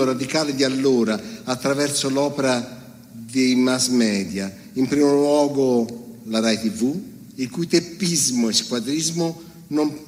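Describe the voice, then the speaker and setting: A man's voice: mid-range voice, in a large hall. A man reading out a political speech in a solemn, indignant, declamatory way. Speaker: reader.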